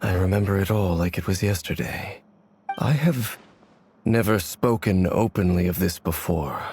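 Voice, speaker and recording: A man playing a grave, guarded immortal wanderer in a video game, with a solemn, formal, measured way of talking. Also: low-pitched, a little mellow, a little hiss or hum.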